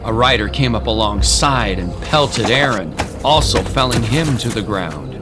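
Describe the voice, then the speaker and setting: Guy narrating a dramatic story, slow low voice, heavy music behind him. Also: animated.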